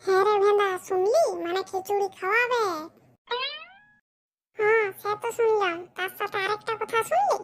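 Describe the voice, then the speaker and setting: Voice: very high-pitched, normal speed, a crowd in the background. A performer voicing a cheeky, grumbling village boy with a rural West Bengal accent in a comedy cartoon. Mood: scheming. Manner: brash, grumbling colloquial banter. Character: cheeky, mischievous poor village boys with squeaky voices.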